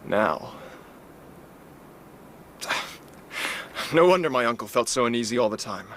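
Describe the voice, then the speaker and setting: An actor playing a brisk, plain-spoken young heir in a radio drama, with a bluff, direct, informal manner. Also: male voice, mid-range voice, animated, fairly bright tone. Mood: uneasy, determined. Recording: outdoor ambience noise, vehicle sounds.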